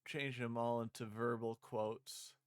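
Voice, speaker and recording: A man chatting casually on a livestream, halting and muttering to himself as he works. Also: mid-range voice, level tone, clean tone, no room sound, clean studio sound. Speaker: streamer, visual novel creator. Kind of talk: casual livestream chat with read-aloud of own script.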